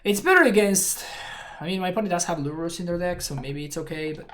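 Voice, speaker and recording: A woman's voice: on the low side, fast talker, fairly bright tone. A woman from Argentina, a native Spanish speaker with an Argentine accent, chatting casually and thinking aloud while streaming a card game. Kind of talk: casual gameplay commentary.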